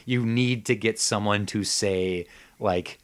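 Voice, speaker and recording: A man co-hosting a casual comedic chat, thinking aloud and joking as he improvises pitches. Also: steady tone, slow pace, smooth. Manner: jokey, thinking aloud, acts out bits. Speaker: podcast co-host.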